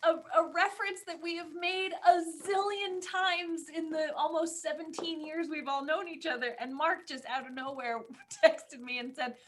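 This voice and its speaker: A woman co-hosting a casual podcast chat, reminiscing and telling stories in an animated way. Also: high-pitched, normal speed, few pauses. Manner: animated storytelling with acted-out quotes. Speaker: podcast co-host.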